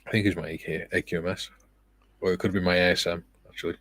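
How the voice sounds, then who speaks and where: A middle-aged man hosting a relaxed livestream, reading viewer comments aloud with a soft, soothing, self-deprecating manner and frequent laughs. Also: deep voice, quick pace, dry recording. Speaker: livestream host.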